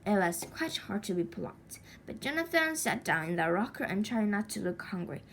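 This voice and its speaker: A woman reading a children's story aloud expressively, with deliberate dramatic pauses and stretched words. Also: medium pitch, very animated, a bit bright. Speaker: storybook reader. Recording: faint background noise.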